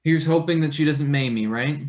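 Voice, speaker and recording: A man's voice: fairly high pitch, normal speed, a little mellow, lightly acted. A man playing a nervous young college intern in a stage play reading, stammering, rambling and self-deprecating. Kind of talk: live table read of a satirical stage play.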